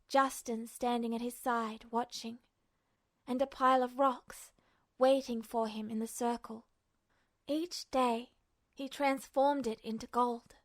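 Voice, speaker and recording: A woman narrates prose fiction in a fairly high voice. She reads from a script in audiobook style, as the storyteller of a fan fiction recording.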